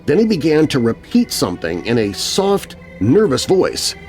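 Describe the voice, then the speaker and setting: A man's voice: medium pitch, wildly swooping pitch, moderate pace, darkish tone. A man narrating a scripted children's horror story. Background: music.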